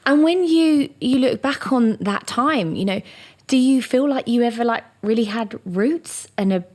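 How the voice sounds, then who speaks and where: A woman's voice: on the high side, a little slow, darkish tone. A woman hosting a travel podcast, reading a playful intro and chatting with a guest. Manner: playful, bubbly. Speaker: podcast host. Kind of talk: scripted intro and interview.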